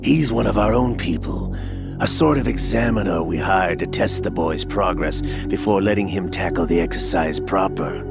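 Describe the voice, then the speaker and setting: An actor playing a cold, scheming older male villain in a video game, calm, measured and mocking as he lays out a plot. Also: low voice, creaky. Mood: smug, coldly explaining. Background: game score music.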